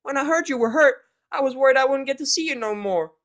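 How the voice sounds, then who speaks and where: A man's voice: fast talker, fairly bright tone. A man voicing a small, sweet, earnest country filly with a twangy little voice in a story reading. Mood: worried. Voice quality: high-pitched, twangy.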